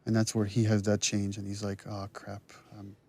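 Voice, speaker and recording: A man's voice: fairly low pitch, monotone, normal speed, warm tone, soft background music. A man giving conversational commentary on game cutscenes as their director, analytical and full of restarts.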